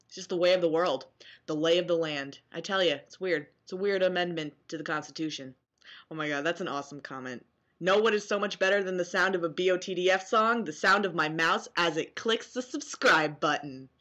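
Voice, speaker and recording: Bright, sarcastic young American woman. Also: fairly low pitch, a little fast. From New Jersey, US. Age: young adult. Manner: sarcastic, animated. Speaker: vlogger. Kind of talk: casual reaction vlog, reading comments aloud with commentary. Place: small room at home, indoors.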